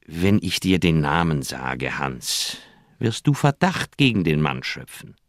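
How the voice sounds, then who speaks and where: An actor playing a troubled, well-meaning old doctor in a radio crime drama, hesitant and evasive. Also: male voice, very deep voice, big pitch swings, unhurried, no echo, clean studio sound, full character voice. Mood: reluctant, pleading. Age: older.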